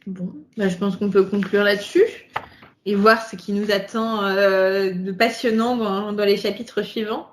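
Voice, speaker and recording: A woman's voice: mid-range voice, level tone, measured pace, a little hiss or hum. A woman whose first language is not French, co-hosting a podcast in casual chat, talking freely and laughing often. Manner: chatty, laughs often, wraps up the show. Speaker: podcast co-host.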